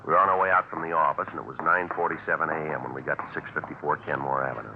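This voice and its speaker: An actor playing a terse, deadpan American police detective in a 1950s radio drama, on a muffled, hissy recording. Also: male voice, a bass voice, very fast, vocal fry. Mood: flat, factual. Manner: clipped, deadpan, matter-of-fact. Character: terse, businesslike male police detective sergeant.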